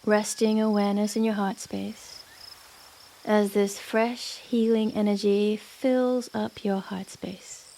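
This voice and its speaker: A woman guiding a yoga nidra meditation in a gentle, unhurried manner with long pauses. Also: mid-range voice, level tone, gravelly, slight background noise. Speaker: meditation guide. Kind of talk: guided yoga nidra meditation, soothing narration.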